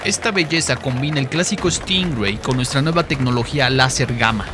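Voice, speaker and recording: A man's voice: mid-range voice, fairly quick, lightly acted. A man playing a smug, showy salesman presenting weapons to a crowd in a narrated comic story. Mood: showy, proud. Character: slick male arms-show salesman, showy, smug presenter. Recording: music behind the voice.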